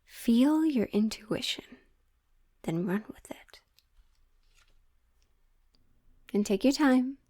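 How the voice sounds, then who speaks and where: A woman playing a coy, theatrical witch who reads tarot, teasing and mysterious, coaxing the listener with dramatic pauses in an audio roleplay. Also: fairly high pitch, animated, warm tone. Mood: coaxing, mystical. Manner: slow, coaxing, endearments, dramatic pauses, breaks into near-whispers. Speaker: tarot reader.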